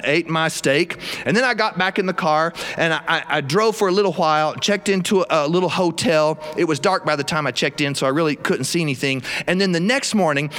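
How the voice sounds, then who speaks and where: Higher-voiced Southern man telling stories. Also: fairly bright tone. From South Carolina, southern US. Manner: folksy, theatrical, acts out dialogue. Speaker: storyteller. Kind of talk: live humorous personal storytelling. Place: recording studio, live performance.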